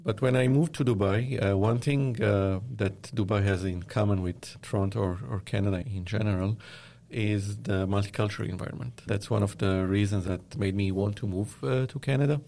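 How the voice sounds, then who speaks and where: A man from Lebanon with a Lebanese accent, giving measured, earnest explanations as an interview guest. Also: on the low side, gravelly, warm tone. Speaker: interviewed media company founder.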